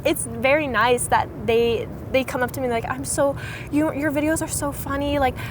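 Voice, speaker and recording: A woman's voice: high voice, steady tone, normal speed. Upbeat young woman, faint hum behind. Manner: chatty, upbeat, laughs often. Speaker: interview guest, content creator. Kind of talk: casual interview chat.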